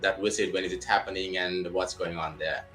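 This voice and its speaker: A Sri man, hosting an interview in a measured way, with frequent uhs. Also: deep voice, fairly even intonation, fairly bright tone, soft background music, low-quality recording. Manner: measured, many uh fillers. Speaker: talk show host.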